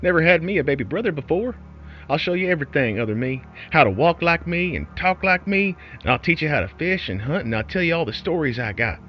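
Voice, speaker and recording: Man acting an eager, quick, higher-voiced folksy miner. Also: dull audio, faint music behind, natural voice. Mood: delighted, eager. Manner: excited, folksy rural speech. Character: eager, childlike miner delighted by his cloned brother.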